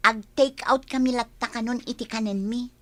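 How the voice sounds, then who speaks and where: A woman's voice: on the high side, steady tone, moderate pace, fairly bright tone, dry recording, natural voice. An actress with a Filipino accent playing a thrifty, frustrated young working woman who complains emphatically in a radio drama. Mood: exasperated. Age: young adult.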